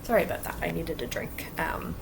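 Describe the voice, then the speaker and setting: A woman's voice: sits low, lively intonation, brisk, creaky, background noise. A woman vlogging about her cross-stitch projects in a casual, rambling way with lots of fillers, sounding a little stuffy from allergies. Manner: chatty, rambling, many fillers. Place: home basement craft area.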